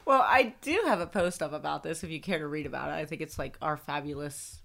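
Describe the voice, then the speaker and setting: A woman's voice: low-pitched, fairly quick, vocal fry, a bit bright. A middle-aged woman co-hosting a casual podcast, chatty and bubbly, laughing often. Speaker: podcast co-host. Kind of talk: casual chat.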